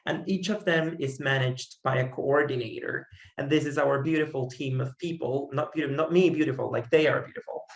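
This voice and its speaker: A man's voice: mid-range voice, steady tone, brisk, creaky, reverberant. A young man from Guatemala with a Guatemalan Spanish accent, a native Spanish speaker giving a friendly, earnest presentation over a video call, with many fillers and restarts. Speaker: conservation organization founder. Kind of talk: online presentation talk.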